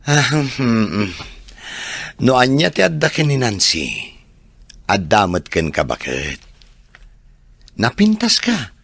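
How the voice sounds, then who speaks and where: A man acting an evasive, easygoing unfaithful husband in a radio drama, with a husky, drawling voice and an Ilocano accent. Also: medium pitch, big pitch swings, slow pace, pauses often, a bit bright, no room sound, exaggerated voice. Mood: irritated, defensive.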